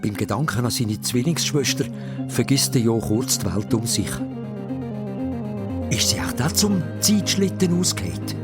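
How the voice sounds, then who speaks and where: Male narrator, Swiss German, kids' audio drama. Mid pitch, very lively delivery, music underneath. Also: measured pace. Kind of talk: animated scripted story narration.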